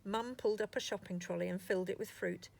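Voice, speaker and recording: A woman storyteller narrating a scripted children's story in an expressive, deliberate way. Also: mid-range voice, gravelly, a little hiss or hum.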